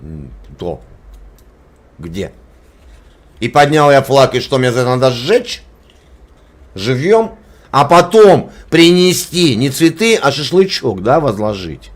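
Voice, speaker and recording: A man's voice: mid-range voice, sing-song, slow, long pauses. A man hosting, arguing hotly and scornfully with a stranger, swearing and repeating his demands. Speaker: channel host arguing with a stranger. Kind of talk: heated argument, swearing.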